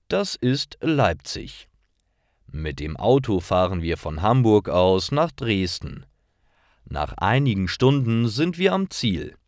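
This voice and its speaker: Male narrator for learner stories: unhurried, lively intonation, deliberate delivery, dry close sound. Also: fairly low pitch, dry recording. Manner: clear, deliberate storytelling for learners. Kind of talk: scripted story narration for language learners.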